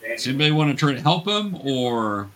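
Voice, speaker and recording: A man's voice: medium pitch, sing-song, brisk, background noise. A man running a tabletop game as game master, coaching young players in a casual, patient way with hesitant explanations.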